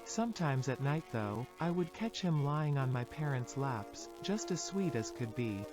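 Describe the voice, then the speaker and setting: An actor playing a wounded, intense interviewee recounting a traumatic childhood in a scripted analog horror piece. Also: male voice, medium pitch, sing-song, unhurried. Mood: wistful. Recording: music under the voice. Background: music.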